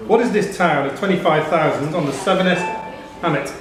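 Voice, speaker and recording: A man's voice: fairly high pitch, fairly quick. A man reading out questions as the host of a live pub quiz. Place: indoor pub quiz venue. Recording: echoey pub room with crowd noise. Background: pub crowd.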